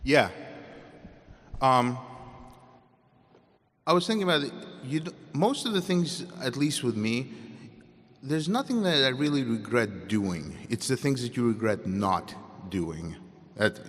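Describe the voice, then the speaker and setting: A young man from Ukraine with a Russian accent, answering student questions candidly at a convocation and often breaking off and restarting. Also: mid-range voice. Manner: candid, self-interrupting, conversational. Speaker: guest speaker. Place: large hall. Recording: long hall reverb.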